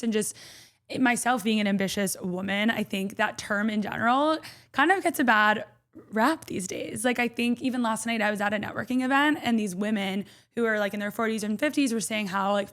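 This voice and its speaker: Chatty young woman podcast host. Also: medium pitch. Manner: chatty, lively, quick asides. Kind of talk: podcast interview.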